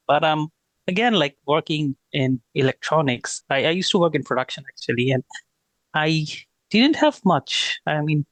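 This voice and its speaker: A man's voice: fairly high pitch, no echo, rough recording. A man in his thirties from Bangladesh, a guest talking casually in an interview, with many fillers and restarts. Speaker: guest, renewable energy consultant.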